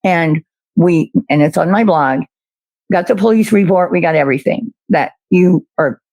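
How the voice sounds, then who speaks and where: A speaker in a played clip lays out an accusation step by step, measured and pointed. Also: medium pitch, a little mellow, no room sound. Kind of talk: argumentative commentary.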